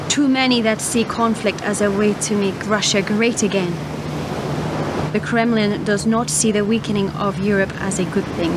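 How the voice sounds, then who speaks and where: A woman's voice: mid-range voice, lightly acted. An actress playing a grave female messenger, solemn and formal, in a radio play. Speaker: radio drama actor. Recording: very noisy, traffic-like ambience.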